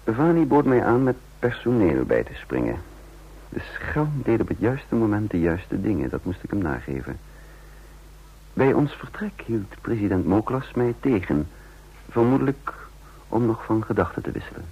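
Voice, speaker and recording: Very husky, low-voiced secret agent. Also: male voice, sing-song, moderate pace, full character voice. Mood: reflective narrating. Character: uneasy male impostor agent, narrating his own intrigue. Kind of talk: old radio drama acting with first-person narration. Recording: muffled old radio play recording.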